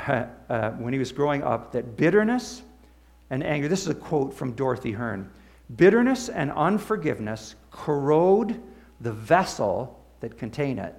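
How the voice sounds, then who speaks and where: A man's voice: medium pitch, very animated, unhurried, gravelly, darkish tone. A man speaking as a pastor in a conversational sermon, checking in with his listeners. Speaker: pastor leading a church service.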